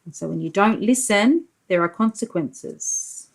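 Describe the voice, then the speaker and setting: A woman's voice: mid-range voice, expressive, unhurried, creaky, slightly rough. A woman preschool teacher reading a picture book aloud to young children, explaining things and asking simple leading questions.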